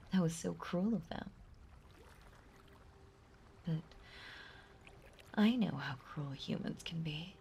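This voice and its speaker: A woman playing a possessive, clingy mermaid in an intimate ASMR roleplay, speaking softly and breathily and lingering on her words. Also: low voice, gravelly, exaggerated voice. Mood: soothing, concerned, then confiding, tender. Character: possessive, clingy yandere mermaid, sweetly obsessive young woman. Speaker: voice actress in a roleplay audio. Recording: faint background noise, water ambience. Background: ocean ambience sound effects.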